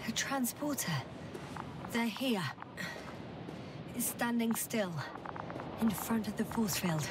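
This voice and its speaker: Weary female scientist, solemn breathy murmur, sci-fi game drama, slightly distant, loud rumbling noise. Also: slow, pauses often. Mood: tense, focused. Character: weary young woman scientist exploring an alien planet. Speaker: space mission crew doctor. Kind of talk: dramatic video game voice acting.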